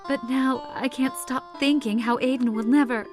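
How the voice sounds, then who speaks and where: A woman's voice: high voice, steady tone, moderate pace, natural voice. Woman acting a caring, firm mother over guitar. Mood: worried. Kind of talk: audio drama acting.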